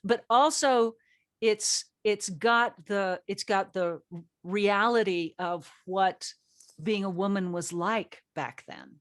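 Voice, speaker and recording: A woman's voice: medium pitch, slow pace, no room sound, clean studio sound. A woman hosting a casual group interview as a show's writer, joking in a playful, self-deprecating way. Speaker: host and writer of an audio drama.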